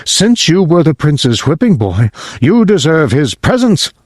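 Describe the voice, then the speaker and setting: A man's voice: a little high, sing-song, unhurried, no room sound, studio quality, pitched above the performer's own voice. Stern peasant dad, firm and a touch raised, man voicing him. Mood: reading aloud. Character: gruff proud poor peasant father.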